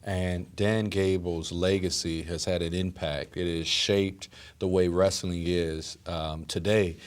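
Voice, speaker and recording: A man who is a mayor, hosting a casual interview, friendly, often restarting his questions and backing the guest with steady mm-hmms. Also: low-pitched. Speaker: mayor hosting a city talk show.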